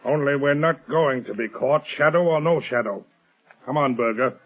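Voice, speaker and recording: A thin, boxy old radio recording of a husky male villain played by an actor. He is a bossy, ruthless swindler who talks in a hard, scornful 1930s drama style. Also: mid-range voice, normal speed, close to an everyday voice. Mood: defiant, threatening. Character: ruthless swindler, bossy, defiant criminal schemer. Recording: tinny narrow-band 1930s radio recording.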